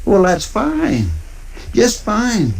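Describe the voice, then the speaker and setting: An actor playing a deferential, rambling deputy with a folksy rural American drawl in a 1950s western radio drama. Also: male voice, sits high, wildly swooping pitch, drawn-out words, gravelly, darkish tone, heavily acted. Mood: eager, nosy. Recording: noisy old radio recording.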